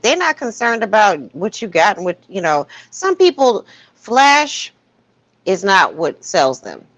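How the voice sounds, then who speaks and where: A woman's voice: lowish voice, fairly bright tone, dry recording. An American woman in a casual panel discussion, talking in a frank, opinionated way.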